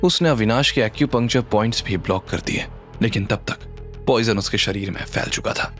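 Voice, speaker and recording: A man's voice: fairly low pitch, brisk, fairly bright tone. A man narrating a scripted audio drama story in a dramatic style. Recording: music under the voice.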